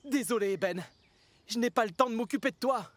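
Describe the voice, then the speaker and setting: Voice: mid-range voice, brisk, very noisy, natural voice. An actor voicing an eager, kind, anxious young male tank engine in a children's cartoon, earnest and exclamatory. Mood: distressed. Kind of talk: dubbed children's cartoon dialogue.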